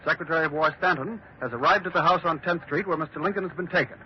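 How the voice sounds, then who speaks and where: Hissy old radio, actor doing solemn male news announcer, clipped n dramatic, slightly high voice. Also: fast talker, close to an everyday voice. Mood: grave, dramatic. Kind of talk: 1940s radio drama, scripted bulletins. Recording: muffled, hissy 1940s radio recording.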